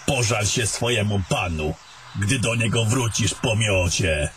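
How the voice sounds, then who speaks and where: Man playing a gruff, slow-talking scornful battle mage. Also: on the low side, animated, fairly bright tone, a little hiss or hum. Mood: defiant, contemptuous. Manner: commanding, contemptuous, coarse. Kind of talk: fantasy audio drama acting.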